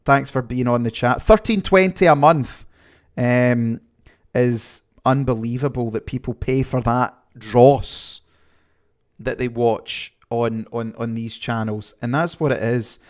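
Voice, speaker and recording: A man's voice: mid-range voice, slow. Chatty Glaswegian man hosting a stream. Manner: chatty, rambling with many fillers. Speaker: livestream host. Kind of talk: casual chat reading viewer comments.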